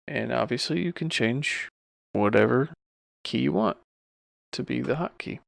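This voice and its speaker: Male tutorial host, offhand and informal, heavy vocal fry, close dry sound. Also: medium pitch, expressive, measured pace, dry recording. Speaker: streamer giving a software tutorial. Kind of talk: casual screen-recorded tutorial.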